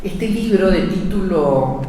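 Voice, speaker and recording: A woman with an Argentine Spanish accent presenting a book, reading prepared remarks in a measured, poetic way. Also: on the low side, lively intonation, dark tone, slight background noise. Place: event hall. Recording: echoey hall from far off.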